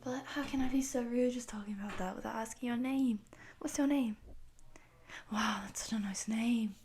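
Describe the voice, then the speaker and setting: A woman voicing a friendly, excitable eighteen-year-old wolf girl who rambles from one thing to the next in an audio roleplay for the listener. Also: on the high side, moderate pace, slight background noise, close to an everyday voice. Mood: bubbly, chatty. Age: young adult.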